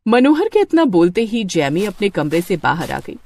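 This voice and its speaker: A woman's voice: medium pitch, very animated, normal speed, a little mellow. A woman narrating a scripted moral story.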